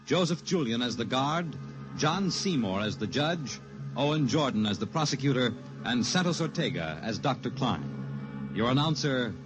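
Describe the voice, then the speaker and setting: A man's voice: mid-range voice, big pitch swings, moderate pace, lo-fi sound. A man delivers scripted announcements in the dramatic manner of 1950s radio. His mid-range voice moves animatedly over prominent music. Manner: formal, dramatic enunciation. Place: broadcast studio, music behind.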